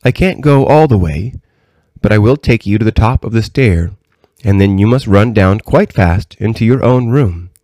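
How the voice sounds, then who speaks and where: A very old storybook grandmother, played by a man with his voice lifted. She is kindly and a little mysterious, speaking with gentle, measured patience in a dry, close sound. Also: fairly low pitch, animated, no room sound, pitched above the performer's own voice, close to an everyday voice. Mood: gentle, reassuring.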